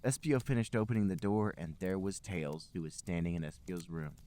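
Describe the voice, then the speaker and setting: A man co-hosting a podcast, narrating a story and chatting casually in a deadpan manner. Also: low voice, a little fast. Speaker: podcast co-host and reader.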